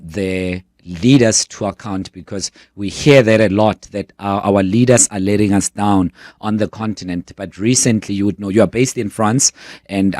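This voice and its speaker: South African radio host, lowish voice. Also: male voice, no room sound, studio quality. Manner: relaxed, with frequent "uh" fillers. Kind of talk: radio interview. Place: radio studio.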